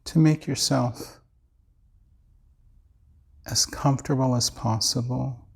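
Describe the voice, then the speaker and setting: Creaky-voiced man slowly guiding meditation. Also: medium pitch, fairly even intonation. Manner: measured, soothing, with long pauses. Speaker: meditation teacher. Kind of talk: guided body scan meditation.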